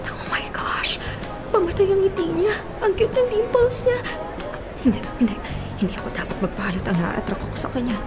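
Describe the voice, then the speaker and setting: A bitter, guarded young Filipino woman in a radio drama. She speaks fast and haughtily, with lively swings in pitch and curt exclamations. Music plays behind her. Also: full character voice. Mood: secretly smitten.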